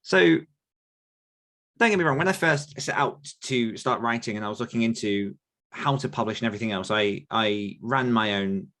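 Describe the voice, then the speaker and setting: A man with a lowish voice hosts an author podcast in an easy, casual way. He rambles, circles back and restarts his sentences often. His tone is conversational and unhurried. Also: bright, forceful tone. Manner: loose, rambling with frequent restarts and fillers. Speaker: podcast host and author coach.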